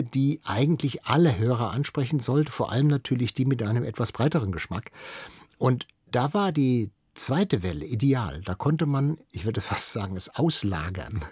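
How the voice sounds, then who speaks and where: A man's voice: mid-range voice, darkish tone, husky, dry recording, studio quality. An older man, a radio veteran, explains things conversationally as an interviewed expert, often correcting himself as he thinks aloud.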